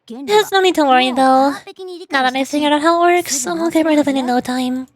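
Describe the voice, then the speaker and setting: A woman's voice: high voice, a little fast, natural voice. A woman voicing a youthful-sounding, long-lived female shaman from a game, proud and self-assured but easily flustered. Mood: confident.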